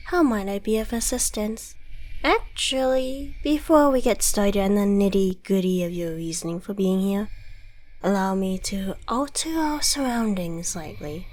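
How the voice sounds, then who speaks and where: A woman's voice: mid-range voice, a little slow, a little mellow, natural voice. A woman voicing a smug, menacing devil in an ASMR roleplay, with silky, theatrical, condescending politeness. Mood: smoothly welcoming. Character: suave devil dealmaker, courteous, smug and menacing. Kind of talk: ASMR audio roleplay monologue.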